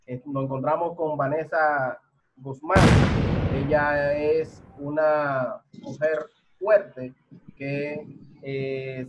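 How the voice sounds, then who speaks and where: Middle-aged guy hosting over webcam, formal, kinda high voice, stumbles a lot, muffled audio. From Dominican Republic. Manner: formal, halting, stammers on questions. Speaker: host. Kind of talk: online TV interview. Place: home office indoors. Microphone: webcam on video call. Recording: muffled video call audio.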